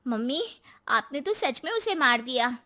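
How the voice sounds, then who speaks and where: A woman's voice: sits high, big pitch swings, unhurried, bright, forceful tone, heavily acted. A woman playing a timid, nagging younger Indian woman in a comic cartoon story, pleading and complaining. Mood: surprised, teasing. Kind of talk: comic Hindi animated story acting.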